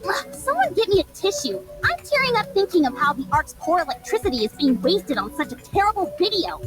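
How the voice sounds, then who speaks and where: A brash young woman from a video game, with a high voice that swings widely. She is blunt, mocking and sarcastic, pushing every jab with exaggerated force, over music. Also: very animated, a little fast. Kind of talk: video game cutscene voice acting.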